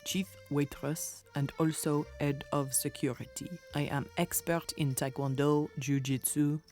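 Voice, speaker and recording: A woman's voice: low voice, steady tone, a little slow, darkish tone, soft background music. A woman playing a proud, self-assured head waitress who doubles as security chief, in a children's audio drama. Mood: proud, brisk.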